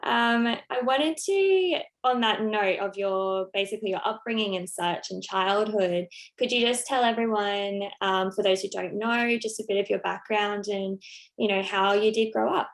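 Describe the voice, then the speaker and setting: A woman interviewer asking relaxed, friendly questions with hesitant fillers. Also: medium pitch, moderate pace.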